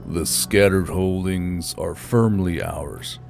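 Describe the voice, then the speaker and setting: Guy doing a stern low voiced fantasy officer, steady and low key. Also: takes it slowly, creaky, faint music behind, exaggerated voice. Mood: grim, steady. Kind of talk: audiobook character acting.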